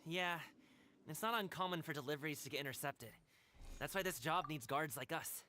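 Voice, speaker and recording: A woman's voice: low-pitched, fairly quick, sharp tone, a little hiss or hum. A woman playing an easygoing, confident young guard in a video game.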